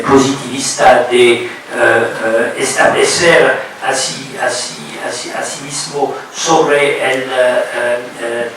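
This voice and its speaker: Halting older man lecturing in an echoey hall. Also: mid-range voice, background noise. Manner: halting, many fillers and repeated words. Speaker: guest lecturer. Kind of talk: academic lecture. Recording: echoey hall, recorded from far off.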